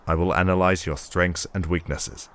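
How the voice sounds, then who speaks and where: Very deep, stern Viking father. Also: fairly even intonation, normal speed, fairly bright tone. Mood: firm, calmer. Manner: blunt, reproachful, commanding. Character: stern, demanding Viking father, gruff warrior. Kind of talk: audio drama acting.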